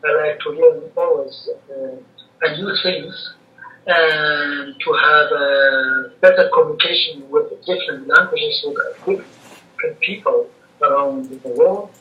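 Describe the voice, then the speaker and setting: A man's voice: fairly high pitch, measured pace. Hesitant Kurdish-native young man, distant. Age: young adult. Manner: hesitant, searches for words with many uh's. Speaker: student being interviewed. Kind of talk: halting conversational answers. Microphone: video call. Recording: distant, echoey, dull call audio.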